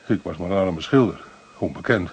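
An actor in a radio play, playing a distraught, guilt-ridden poor painter who stammers, halts and repeats himself. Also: male voice, low voice, a little fast, slightly rough, highs cut off. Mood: troubled. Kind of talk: Dutch radio play acting.

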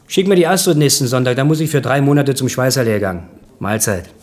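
Guy acting working class ex-husband in a radio play, blunt, chummy, needling, colloquial, mid-pitched voice. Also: brisk, close to an everyday voice. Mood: admonishing.

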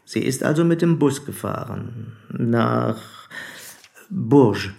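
An actor playing a probing, formal police commissioner in a German radio play, speaking deliberately and insistently. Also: male voice, medium pitch, animated, vocal fry, darkish tone.

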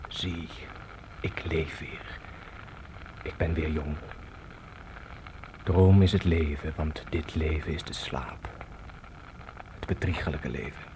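Weary Spanish dreamer, low voice, brooding, halting, theatrical, radio play, noisy recording. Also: male voice, steady tone. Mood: dreamy, haunted. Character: weary Spanish man with a guitar, dreamily haunted by memories. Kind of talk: radio drama acting.

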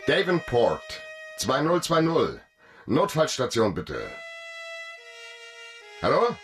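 Tough private detective, slow clipped orders, voice moves a lot, radio thriller, music behind. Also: male voice, mid-range voice, huge pitch swings, a bit bright, full character voice. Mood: brisk. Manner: clipped short commands and questions. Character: hard-boiled male private detective, commanding, terse, wry. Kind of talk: German radio crime drama acting.

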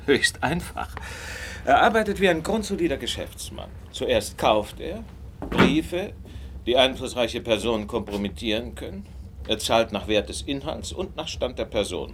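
An actor playing a suave, incisive gentleman detective in a German radio crime drama, speaking in an articulate, courteously condescending way. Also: medium pitch, lively intonation, moderate pace, slight background noise, close to an everyday voice. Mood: explanatory, incisive.

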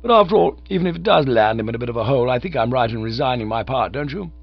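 A man voicing a silly, excitable young upper-class English gentleman in a storybook reading, plummy and wordy. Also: medium pitch, racing, gravelly, dry recording, muffled recording, voice pushed up. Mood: breezy, self-satisfied. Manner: plummy, indignant or breezy, wordy.

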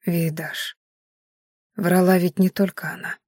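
A dying young heroine of a fantasy audiobook, acted by a woman narrator, speaking faintly and hoarsely. She is weary but quietly commanding, and the recording is dry. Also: medium pitch, measured pace, no room sound. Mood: faint confession.

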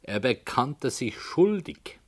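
An actor playing an author who calmly tells his story in a measured, deliberate way. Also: mid-range voice, husky, full character voice. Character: calm, unyielding male radio play author. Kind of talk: radio play acting.

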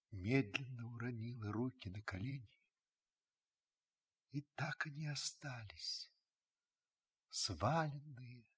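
An actor playing a grave, watchful older householder who narrates a radio drama, husky and breathy, with solemn dramatic pauses. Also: male voice, mid-range voice, a bit bright, heavily acted. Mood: hushed, intent. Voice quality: husky, breathy, sinking to whispers. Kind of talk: dramatic literary narration, old radio play.

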